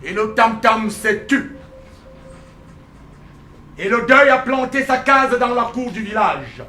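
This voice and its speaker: A man's voice: extremely high pitch, expressive, takes it slowly, pushed and bright. A man giving a theatrical poetry recital in a solemn, dramatic, declamatory manner. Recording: roomy echo, faint music behind.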